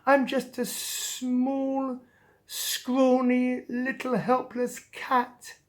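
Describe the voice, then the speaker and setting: A woman's voice: high-pitched, monotone, very slow, pitched above the performer's own voice. A woman voicing a timid, tearful little male cat in a children's story, whiny and sniffly. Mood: timid, glum.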